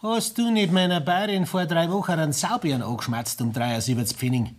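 An actor with a Bavarian accent playing an aggrieved, accusing farmer in a radio play. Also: male voice, on the high side, a little fast, natural voice. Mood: grumbling, accusing. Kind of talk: 1960s Bavarian radio comedy-drama dialogue.

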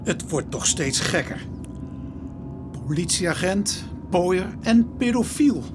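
An actor playing an earnest, somewhat naive police inspector in a radio drama, formal and a little hesitant. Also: male voice, sits high, expressive, takes it slowly, noisy background. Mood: incredulous, resolute. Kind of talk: naturalistic Dutch radio drama acting.

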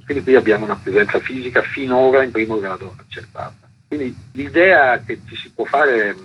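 A man giving careful, articulate explanations as a journalist interviewed on the radio, in a measured way. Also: medium pitch. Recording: muffled, noisy radio line.

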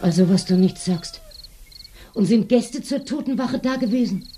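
An actress playing a gruff but kindly village tavern keeper in a radio drama, blunt and matter-of-fact. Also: female voice, a little high, moderate pace, background noise, natural voice. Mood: curious, blunt. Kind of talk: German radio drama acting.

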